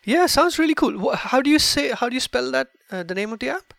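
A man hosting a podcast interview, asking questions in a halting way with many restarts. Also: very high voice, animated, gravelly, a bit bright, no room sound. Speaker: podcast host. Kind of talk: casual interview.

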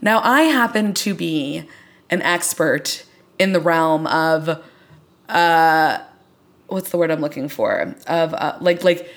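A woman with a slightly low voice hosts a casual TV discussion podcast. She talks with open enthusiasm and leans hard on the words she cares about. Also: animated, takes it slowly. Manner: enthusiastic, emphatic. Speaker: podcast host.

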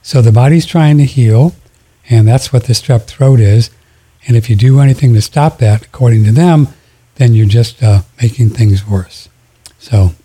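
Husky male radio host, low dark voice, easygoing call-in chat. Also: normal speed. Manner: relaxed, friendly, gives home remedies.

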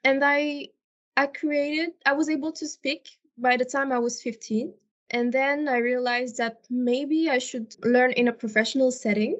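A woman's voice: high voice, steady tone, measured pace. A woman from Morocco, a non-native English speaker, answering conversationally and articulately as an interview guest. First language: not English. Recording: dull, lo-fi audio.

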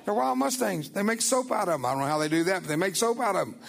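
A man's voice: high-pitched, expressive, fast talker, gravelly, a faint crowd. An older man whose first language was Spanish, giving a folksy, emphatic church testimony as a guest speaker.

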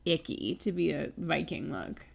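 Low-voiced woman in her twenties with a cold. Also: expressive, unhurried, creaky. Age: 20s. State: has a cold. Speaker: podcast co-host. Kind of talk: casual chat.

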